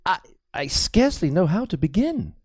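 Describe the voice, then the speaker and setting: A man voicing a fearful young medieval priest in an audiobook, speaking in a halting, anxious, stammering way. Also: sits high, sing-song, darkish tone, no room sound, studio quality, pitched above the performer's own voice. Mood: nervous, faltering.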